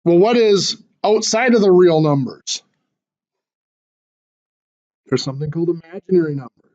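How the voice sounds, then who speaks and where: Guy teaching a math class, high voice, kinda hoarse, muffled lo-fi recording. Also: animated, normal speed, darkish tone. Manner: explains step by step with fillers and restarts. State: losing his voice, clearing throat.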